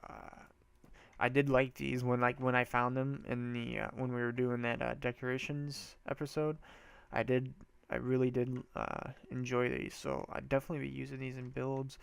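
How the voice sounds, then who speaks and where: A man's voice: mid-range voice, level tone, moderate pace, vocal fry, smooth, no echo. A congested man casually talking through a building game, rambling and thinking aloud in a loose, slangy way. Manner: rambling, loose, trails off and restarts often; slangy and laid-back. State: congested, stuffy nose. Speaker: gameplay video host. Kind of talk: casual let's-play commentary, thinking aloud.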